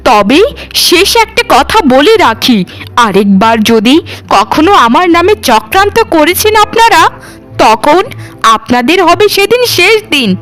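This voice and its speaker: A woman's voice: high-pitched, very animated, measured pace, a bit bright, soft background music, heavily acted. A woman voicing a wronged, firm and defiant young daughter-in-law in a cartoon. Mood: stern, warning. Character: wronged young daughter-in-law turned bold, threatening giantess. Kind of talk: cartoon voice acting.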